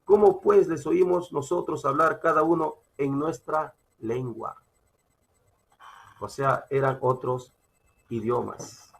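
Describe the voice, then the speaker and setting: A man with a Peruvian accent preaching a sermon, emphatic and halting, repeating phrases as he teaches from scripture. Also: fairly high pitch, gravelly, slightly rough. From Peru. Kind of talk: sermon with Bible reading and personal testimony.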